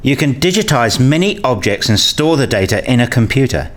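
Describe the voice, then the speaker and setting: An earnest actor playing a man in a radio play, arguing his case in scripted dialogue. His mid-pitched voice moves in lively swings as he explains things insistently and didactically. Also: a little fast. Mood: explaining. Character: earnest, argumentative man debating ideas with a professor.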